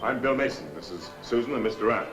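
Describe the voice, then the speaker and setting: Guy playing steady middle-aged sailor in an old 60s movie, few words, music behind him. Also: lowish voice, fast talker, lightly acted. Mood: businesslike. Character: steady, practical, reticent merchant seaman, adult man. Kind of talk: 1960s film acting. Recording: 1960s film soundtrack, music underneath.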